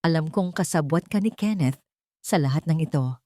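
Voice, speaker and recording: A woman's voice: deep voice, expressive, moderate pace, dark tone, no echo, studio quality. A woman voicing a steadfast, wronged wife in an audiobook melodrama, resolute and sorrowful. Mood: stern.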